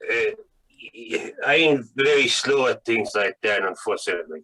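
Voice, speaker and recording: An actor playing a polite, nervous schemer in a 1940s radio drama, speaking formally with halting stammers. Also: male voice, mid-range voice, animated, pushed and bright, rough recording. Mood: nervous, courteous. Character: polite, nervous, insistent male foreign schemer. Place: video call read-through.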